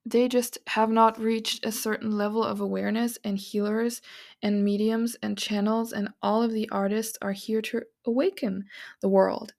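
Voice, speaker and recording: A woman hosting a spiritual podcast, talking in an earnest, encouraging, rambling way with a raspy voice. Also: on the high side, darkish tone. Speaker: podcast host and psychic reader. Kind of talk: casual spiritual podcast monologue.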